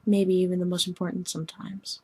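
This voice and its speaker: A woman voicing a formal, gently meddling young alien woman in scripted dialogue, speaking in a measured, precise, deadpan way. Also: fairly low pitch, breathy edge. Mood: calm, earnest.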